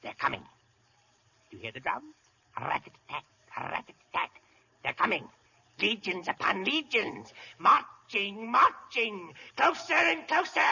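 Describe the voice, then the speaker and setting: An actor playing a crazed, menacing old hermit with a cracked, cackling voice, in 1940s radio style. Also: male voice, creaky, sharp tone, heavily acted. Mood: eerie, raving. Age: older. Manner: ranting, swinging from shrieks to eerie low muttering. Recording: muffled vintage radio recording.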